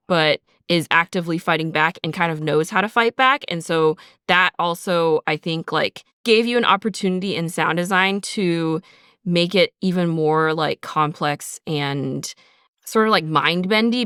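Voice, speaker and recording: A woman's voice: fairly low pitch, fairly bright tone, smooth, dry recording, studio quality. A woman co-hosting a podcast, explaining things in a casual, conversational way and often saying "like". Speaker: audio drama writer, podcast co-host.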